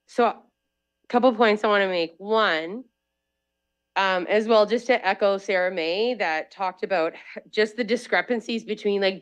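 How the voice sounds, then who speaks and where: A woman's voice: on the low side, smooth, dull audio. A woman with a Canadian accent speaking emphatically at a lectern microphone in a council committee room, often saying "like" and repeating herself. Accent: Canadian English. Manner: emphatic, repetitive, uses "like" often. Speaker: public delegate. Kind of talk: public comment at council meeting.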